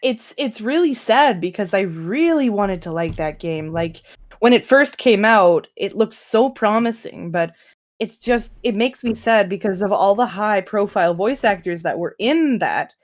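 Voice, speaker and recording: A woman's voice: medium pitch, lively intonation, a little slow. A woman talking casually on a group podcast, giving her opinions in an emphatic way. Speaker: podcast panel member, fan dub organiser.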